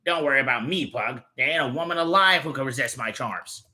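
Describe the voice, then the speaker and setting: A man's voice: mid-range voice, fairly quick, bright, forceful tone, voice pushed up. A man voicing a pompous, impatient, scheming comic-book character with a brash, sarcastic, bossy manner. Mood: bossy, demanding. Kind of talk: comic book read aloud in character voices.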